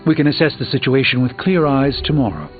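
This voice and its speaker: An actor playing an earnest, formal superhero sorcerer burdened by regret in a video game, speaking in an articulate, measured way. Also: male voice, medium pitch, lively intonation, narrow, tinny audio, natural voice. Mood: conceding. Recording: band-limited game audio with background music.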